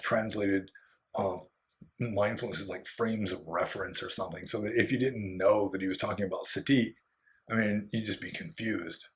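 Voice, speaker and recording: A Buddhist monk, a man who is slightly hoarse, answering viewer questions in an unscripted solo talk, rambling with many fillers and restarts and giving candid opinions. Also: on the low side, a bit bright, low-quality recording. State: getting hoarse.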